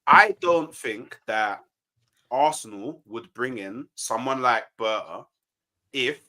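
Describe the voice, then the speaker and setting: A man defends his side in a heated football argument, his voice bright and forceful. He repeats himself and stumbles over his words when challenged, cutting back in before the others finish. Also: medium pitch, slow pace, rough recording. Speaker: football fan panelist. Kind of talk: heated football debate on a livestream.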